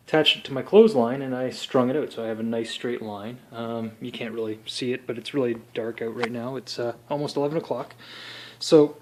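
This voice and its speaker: A young man giving a casual, unscripted demonstration, pausing as he thinks aloud. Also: mid-range voice, darkish tone. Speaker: electronics student demonstrating a homemade radio project. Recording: noisy amateur home recording with clicks.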